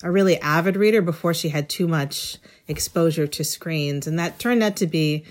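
Low-pitched articulate woman in an interview. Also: normal speed. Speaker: interview guest, education writer. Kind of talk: thoughtful conversational interview.

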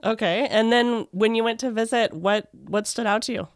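Smooth, dry-voiced woman radio host. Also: fairly high pitch, normal speed, no room sound. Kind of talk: scripted interview hosting.

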